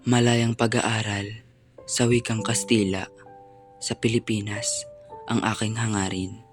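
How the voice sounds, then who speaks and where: A man playing an earnest, sorrowful young student poet in a solemn, measured dramatic monologue. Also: on the low side, flat delivery, natural voice. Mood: earnest, resolute. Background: faint music.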